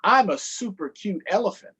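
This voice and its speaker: A man acts out a self-adoring little storybook elephant. The voice is pushed very high and swoops about, childish and quick to take offence. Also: animated, sharp tone, slightly rough, exaggerated voice. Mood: cutesy. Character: cute, vain, indignant young male elephant.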